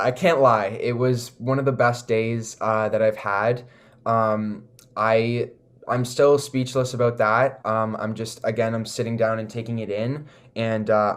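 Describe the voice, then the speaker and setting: A young man with a Canadian accent chatting casually and earnestly as an interview guest, leaning on "like" and "you know". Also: lowish voice. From Toronto, Canada. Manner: earnest, filler-heavy with frequent "like" and "you know". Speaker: recording artist, interview guest.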